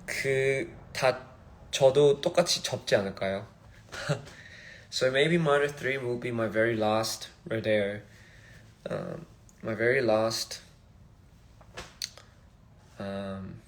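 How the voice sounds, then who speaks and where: A young man from Australia, a musician chatting casually on a phone livestream in a halting, rambling, soft-spoken way. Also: medium pitch. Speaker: musician streaming live. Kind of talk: casual live-stream chat.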